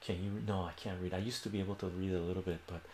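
A man's voice: deep voice, level tone, rapid-fire, a little mellow, roomy sound, slight background noise. An older man whose first language is Armenian, hosting a casual livestream from a home room, rambling and correcting himself as he answers viewers' questions. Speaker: livestream host.

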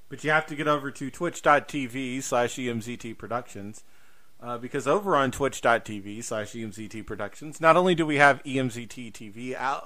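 A man's voice: medium pitch, pushed and bright. A man co-hosting a podcast in casual promotional chat, jokey, exaggerated and rambling. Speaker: podcast host.

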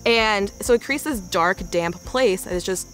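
A woman's voice: mid-range voice, measured pace, a bit bright, faint music behind, low-quality recording. A young woman working as a field researcher, explaining procedures in a documentary interview.

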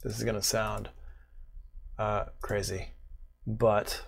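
A middle-aged man giving a casual live software demonstration, playful and muttering to himself as he works. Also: fairly low pitch, level tone, takes it slowly, vocal fry. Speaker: music production instructor.

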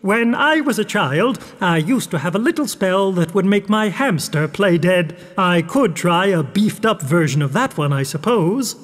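A man's voice: animated, moderate pace, few pauses. A man voicing a centuries-old, obsequious and slightly dim court magician in a storybook. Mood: deferential. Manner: obsequious, booming, chuckling. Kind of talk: storybook character voice. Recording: long hall-like reverb.

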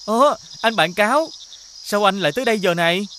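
An actor voicing a kind, naive young prince in an animated fairy tale, earnest and innocent. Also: medium pitch, a little fast, a little hiss or hum, natural voice. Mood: worried, urgent.

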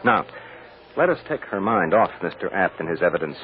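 An actor playing a playful, authoritative defense lawyer and boss in an old-time radio drama, with mock-stern banter. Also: male voice, low-pitched, sing-song, a little fast. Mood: confiding. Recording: dull lo-fi old radio recording.

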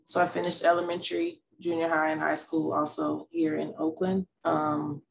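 A woman's voice: sits low, level tone, moderate pace, gravelly, low-quality recording. A woman in her forties from California, a school principal, introducing herself steadily on a video call with a headset.

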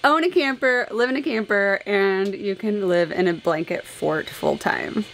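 A woman's voice: medium pitch, unhurried, a little hiss or hum. A woman in her thirties from Indiana, chatting upbeat and jokey to a handheld camera inside a camper. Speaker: RV vlogger. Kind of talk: casual vlog chat to camera. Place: inside a travel trailer. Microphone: handheld camera close up.

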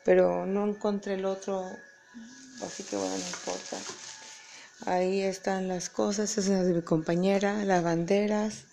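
A woman in her thirties from Peru, chatting casually and softly to her phone, tired, with her voice going. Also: medium pitch, lively intonation, normal speed, slight background noise. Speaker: pilgrim. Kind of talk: casual vlog. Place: hotel room, indoors. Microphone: phone camera at arm's length.

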